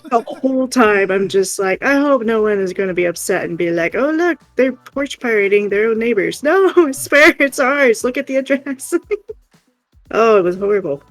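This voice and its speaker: A livestream co-host chatting casually and telling everyday stories in an animated, playful way. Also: medium pitch, moderate pace, low-quality recording. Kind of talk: casual livestream chat and storytelling. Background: music.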